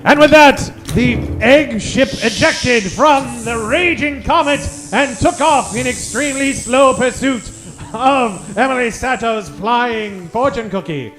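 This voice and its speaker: A man's voice: very high voice, a little slow, a bit bright, soft background music. A man narrating an old-time radio comedy in an arch, dramatic storytelling manner.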